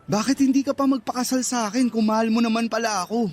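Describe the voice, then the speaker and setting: An actor playing a hurt, insistent young boyfriend who presses with frustrated questions in a radio drama. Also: male voice, very high voice, fairly even intonation, fast. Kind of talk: Tagalog radio drama acting.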